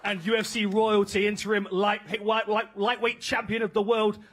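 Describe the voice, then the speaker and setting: High-pitched guy announcing fighters, crowd murmur behind. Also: moderate pace. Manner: energetic announcer. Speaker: press conference host. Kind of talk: hosting introductions. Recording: crowd and faint noise behind.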